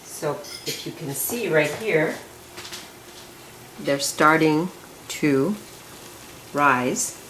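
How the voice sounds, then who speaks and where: A woman with a deep voice explains a recipe slowly and chattily as she cooks. She is recorded from some way off in an echoey home kitchen. Faint clinks of pans and cutlery sit behind her. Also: expressive, fairly bright tone, distant mic, slight background noise. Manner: slow, homely and chatty. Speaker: home cook presenting a recipe. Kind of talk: step-by-step cooking demonstration. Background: clinking pots and cutlery.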